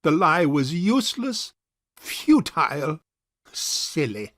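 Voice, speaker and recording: An actor playing a pompous, self-righteous rich old bachelor uncle in a theatrical period farce. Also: male voice, a little high, sing-song, fairly bright tone, no room sound, clean studio sound, exaggerated voice. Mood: aggrieved, indignant. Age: older. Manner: pompous, deliberate, orating. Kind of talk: theatrical period farce audio drama acting.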